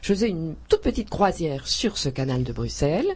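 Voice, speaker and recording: A husky-voiced woman from Belgium describes her writing in a radio interview. She speaks with enthusiasm but stops and restarts often, and laughs easily. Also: low-pitched, very animated, moderate pace. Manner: warm, effusive, hesitant with many restarts, laughs often. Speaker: author.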